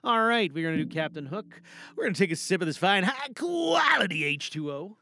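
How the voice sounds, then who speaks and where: A man's voice: high voice, big pitch swings, a little fast, bright, forceful tone. A man hosting a podcast informally, with playful showmanship. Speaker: host and voice performer.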